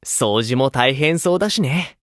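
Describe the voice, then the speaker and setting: An actor playing a gentle, playful grown man, a caring older teammate in an idol game, with a coaxing manner. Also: medium pitch, animated, measured pace, no echo, clean studio sound. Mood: wistful, amiable. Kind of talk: Japanese mobile game voice acting.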